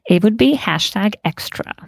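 A woman's voice: mid-range voice, lively intonation, normal speed, creaky, dry recording, studio quality. A woman from Bosnia and Montenegro, a podcast guest who talks casually about her design business and laughs often. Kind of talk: casual conversation.

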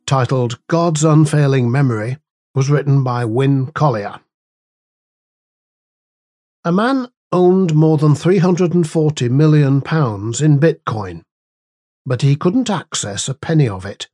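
Slow, measured man reading a devotional. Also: on the high side, expressive, dark tone, no room sound, studio quality. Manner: measured, deliberate phrasing with pauses. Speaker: devotional reader and host. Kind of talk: scripted devotional reading with scripture and prayer.

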